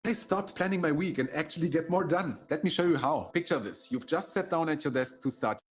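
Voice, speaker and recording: A man delivering a scripted advertising pitch, speaking directly to the listener. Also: brisk.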